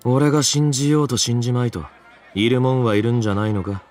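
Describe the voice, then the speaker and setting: Man voicing aloof young guy, super quick delivery, bit distant, visual novel game. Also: medium pitch, steady tone, darkish tone, natural voice. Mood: dry, aloof. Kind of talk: Japanese visual novel voice acting.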